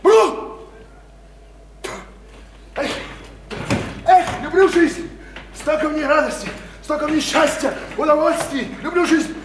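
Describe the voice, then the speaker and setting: A man's voice: very high voice, slow pace, pauses often, noisy background, full character voice. An actor in a radio play playing a crude provincial man who taunts his mistress, mocking, boastful and given to repeating himself. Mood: boisterous, exuberant. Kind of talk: theatrical radio play acting. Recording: echoey stage-like radio play, music behind.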